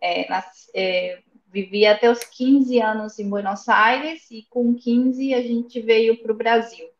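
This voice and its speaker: A woman's voice: fairly high pitch, steady tone, slow. A woman with a slight Argentine accent, a guest telling her family story in a chatty way over earphones and a phone on a remote call. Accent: slight Argentine Spanish. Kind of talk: casual storytelling interview. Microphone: earphones with phone in front. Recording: echoey, dull remote-call audio.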